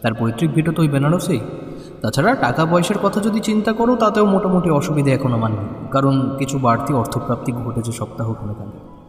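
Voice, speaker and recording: A man's voice: medium pitch, lively intonation, brisk, close to an everyday voice. A man playing a practical, concerned friend in an audio story, talking in a conversational, questioning way. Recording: echoey reverb, music underneath.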